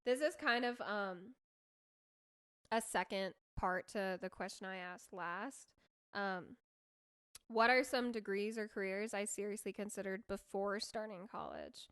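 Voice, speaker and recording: A young woman chatting casually with friends as a podcast co-host, giggly and animated. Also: medium pitch, unhurried, clean tone, no echo, studio quality. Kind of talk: casual chat among friends.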